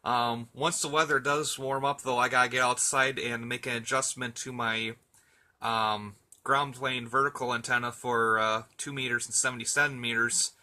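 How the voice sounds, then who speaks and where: A man's voice: medium pitch, steady tone, moderate pace, bright, forceful tone. A man in a casual radio chat, talking in an easygoing, chatty and hesitant way as an amateur operator.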